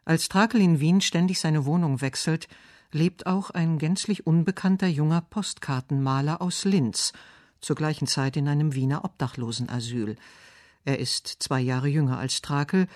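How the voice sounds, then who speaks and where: Male narrator, slightly high voice, scripted literary radio essay, dry studio recording. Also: darkish tone, dry recording.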